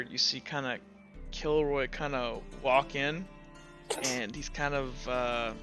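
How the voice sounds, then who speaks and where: A man's voice: fairly high pitch, pushed and bright. A man narrating a tabletop roleplaying game as game master in a casual, informal, improvised way.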